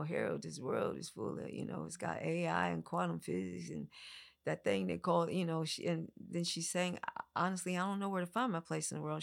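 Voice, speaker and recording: Low, creaky-voiced woman from the Midwest. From American Midwest. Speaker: podcast guest, monologue performer. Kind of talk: conversational interview.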